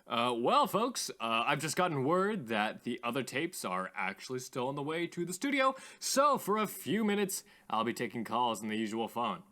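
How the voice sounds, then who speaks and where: A man playing a courteous, theatrical radio call-in host with formal, drawn-out greetings in a radio drama. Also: mid-range voice, big pitch swings, bright, forceful tone. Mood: upbeat, courteous.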